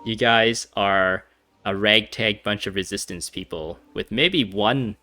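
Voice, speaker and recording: A man's voice: on the low side, a little slow, pushed and bright, soft background music. A young man giving casual, wry gameplay commentary, laughing as he nitpicks. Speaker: game streamer.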